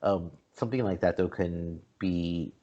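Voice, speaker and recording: A man with a fairly low voice hosts a movie review show in a relaxed, informal panel style. The recording is a little dull and lo-fi. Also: a little slow, a crowd in the background. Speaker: host of a movie review podcast. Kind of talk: casual panel discussion.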